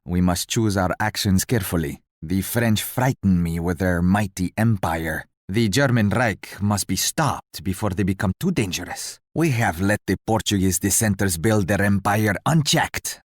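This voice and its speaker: Stately, low-voiced colonial-era ruler. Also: male voice, normal speed, few pauses, no echo, clean studio sound. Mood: proud, grave. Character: stately, courteous male colonial-era ruler, an allied leader. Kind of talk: scripted video game voice lines.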